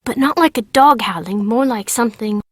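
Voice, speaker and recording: A woman's voice: fairly high pitch, normal speed, dry recording, studio quality, close to an everyday voice. A woman voicing a young English girl for a video game, eager, bossy and lonely, with a childlike sing-song manner and a British accent. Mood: uneasy, hushed. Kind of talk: video game character voice acting.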